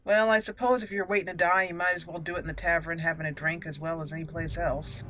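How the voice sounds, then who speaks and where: A woman giving playful, expressive let's play commentary, chatting and thinking aloud as she explores a game. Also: on the low side, fast talker, faint music behind, low-quality recording. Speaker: gaming video host.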